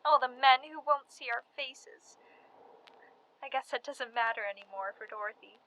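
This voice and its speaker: A woman's voice: sits high, moderate pace, pushed and bright, slight background noise, rough recording. A young woman playing a chatty but weary and frightened college student from 1918 in a radio play. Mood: gossipy, amused. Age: young adult. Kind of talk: dramatized letter monologue, period radio play.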